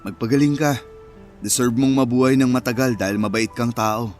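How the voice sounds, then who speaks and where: A man's voice: medium pitch, moderate pace, darkish tone, lightly acted. A man playing a cocky, defensive young womanizer in a Filipino radio drama. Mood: softened, sincere. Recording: music bed under the dialogue. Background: music.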